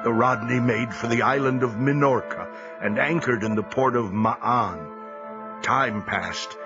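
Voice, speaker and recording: An actor narrating an audio drama as a former American sailor recounting his captivity, solemn, measured and dramatic. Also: medium pitch, close to an everyday voice. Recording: dull recording under loud music.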